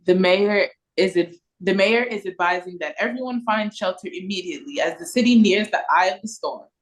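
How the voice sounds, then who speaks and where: A woman's voice: medium pitch, brisk, lightly acted, rough recording. A woman playing a formal local radio newscaster in an audio play read over a video call. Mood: urgent, formal.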